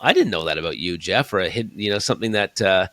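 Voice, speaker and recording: A man in his fifties hosting a conversational interview, telling stories in an animated way and acting out his own stage lines. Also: deep voice, fast talker, no room sound. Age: 50s. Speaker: host and keynote speaker.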